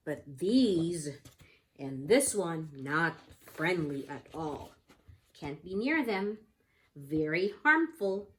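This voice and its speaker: A woman teacher leading an interactive picture-book lesson for young children, explaining things simply and often asking the children questions. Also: low voice.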